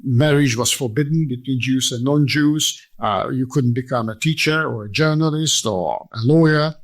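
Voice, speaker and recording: Elderly Hungarian-accented man, measured and formal. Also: mid-range voice. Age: 80s. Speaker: interview guest, survivor giving testimony. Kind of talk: personal storytelling in an interview.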